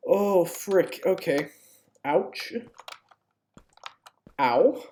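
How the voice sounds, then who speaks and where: A woman's voice: mid-range voice, animated, very slow, mellow. A woman giving casual live game stream commentary, informal and sweary, jokingly pleading with viewers. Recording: clearly noisy home stream, keyboard clicks.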